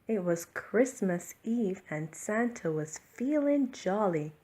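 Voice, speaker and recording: A woman narrating a children's bedtime picture book in an expressive, deliberate storytelling style. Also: mid-range voice. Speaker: storybook reader.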